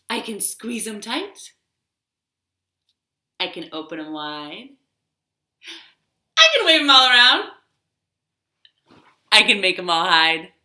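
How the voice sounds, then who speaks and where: A woman's voice: lowish voice, sharp tone. A woman leading a children's storytime with rhymes, talking in a sing-song way to small children in a living room. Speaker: children's librarian hosting storytime. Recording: roomy, echoey home recording.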